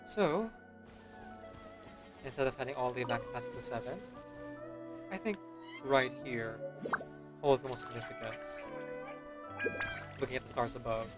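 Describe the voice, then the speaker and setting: A man's voice: mid-range voice, measured pace, long pauses. A man doing casual gameplay commentary, musing to himself in a hesitant, trailing way. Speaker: streamer. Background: game music behind the voice.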